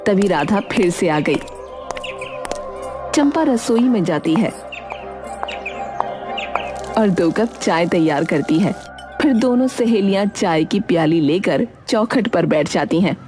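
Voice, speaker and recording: A woman's voice: fairly low pitch, expressive, slow pace, long pauses, music in the background. A woman reading scripted narration for a story as the storyteller.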